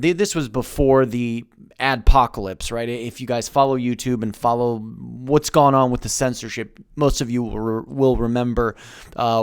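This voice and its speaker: Halting man in his thirties, smooth voice. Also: medium pitch, no room sound, studio quality. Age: 30s. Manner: halting, many fillers and restarts. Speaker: YouTuber. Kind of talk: personal vlog monologue.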